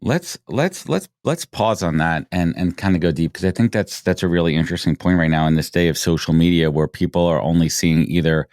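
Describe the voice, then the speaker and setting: Low-voiced man in his forties hosting. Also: brisk, few pauses, no echo, clean studio sound. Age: 40s. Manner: animated, repeats words when starting questions. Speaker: podcast host. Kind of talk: conversational interview hosting.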